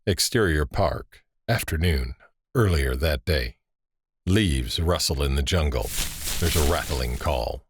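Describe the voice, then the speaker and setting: A man narrating a scripted audio drama with deliberate, suspenseful storytelling. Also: very deep voice, expressive, breathy edge, dry recording.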